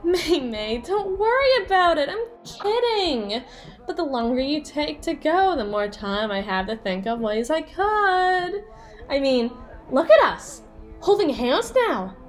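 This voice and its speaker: Woman voicing a flirty jealous yandere bestie for asmr roleplay, high voice that swings all over, sassy n teasing. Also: big pitch swings, soft background music. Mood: mock-threatening, flirty. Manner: teasing, sassy, theatrical, drawn-out emphasis. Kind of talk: ASMR roleplay monologue addressed to the listener.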